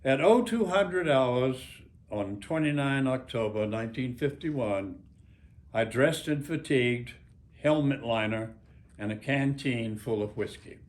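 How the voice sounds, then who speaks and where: An elderly American man in his nineties reads literary prose aloud at a very unhurried pace. His pitch sits in an average male range. He phrases deliberately and dramatically, leaving pauses between short groups of words. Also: lively intonation. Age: 90s. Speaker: author. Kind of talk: reading own memoir aloud, literary narration.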